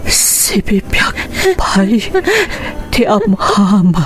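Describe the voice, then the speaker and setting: Babae a mangipabpabuya iti matmatay nga ina, agsangsangit, nagbuntog. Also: female voice, medium pitch, big pitch swings, soft background music. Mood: weak confession. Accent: Filipino, Ilocano. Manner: halting, weak, gasping between short phrases. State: crying. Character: dying mother tearfully confessing a secret to her child. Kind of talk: radio drama acting.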